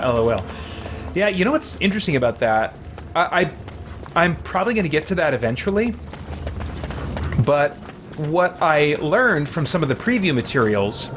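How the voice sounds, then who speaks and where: A man live-streaming a game, chatting casually and reading viewer comments, rambling as he thinks aloud. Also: on the high side. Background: game music.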